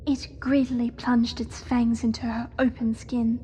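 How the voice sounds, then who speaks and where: A woman voicing a devoted young spider hatchling in a horror audio drama, telling its story in a hushed, measured, eerily innocent way. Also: a little high, level tone, a little hiss or hum, natural voice. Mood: hushed, reverent.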